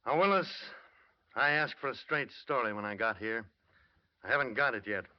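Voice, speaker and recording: A man's voice: medium pitch, moderate pace, natural voice. Blunt American police lieutenant played by a man, old radio. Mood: firm, pressing. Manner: insistent, terse, hard-nosed. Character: blunt, pressing male police lieutenant questioning a witness. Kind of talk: old-time American radio drama acting. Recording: muffled old radio broadcast recording.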